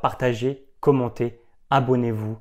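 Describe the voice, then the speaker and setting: A man, the channel host, reading a short scripted outro in a measured, slogan-like way. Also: mid-range voice, fairly even intonation, faint music behind. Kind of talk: scripted outro call to action.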